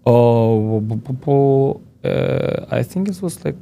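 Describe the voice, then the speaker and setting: A man, a podcast guest, speaking halting, broken English with hesitations. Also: medium pitch, expressive, vocal fry, dark tone. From former Soviet Union. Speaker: guest, soccer fitness coach. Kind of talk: casual interview chat.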